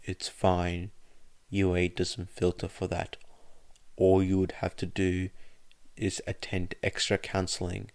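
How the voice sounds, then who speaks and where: A man voicing a stern but caring adult male teacher who speaks in calm, curt lines. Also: low-pitched, unhurried. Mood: gentle. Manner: curt orders, then calm reassurance.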